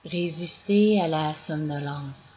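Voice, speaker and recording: Very slow-paced woman guiding meditation, muffled recording. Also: low voice. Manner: slow, calm, measured instructions with long pauses. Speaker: yoga nidra guide. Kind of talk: guided meditation, scripted narration. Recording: dull lo-fi recording with clear background noise.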